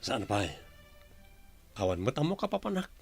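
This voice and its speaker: A man's voice: medium pitch, very animated, normal speed, creaky, soft background music. A man acting a devoted, earnest young suitor and husband in an Ilocano radio drama, with a Filipino Ilocano accent. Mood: casual, concerned.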